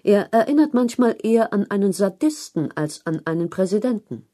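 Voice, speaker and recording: A woman narrating a written news feature in a measured, articulate read-aloud style. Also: mid-range voice, big pitch swings, warm tone. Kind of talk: scripted narration of long-form journalism.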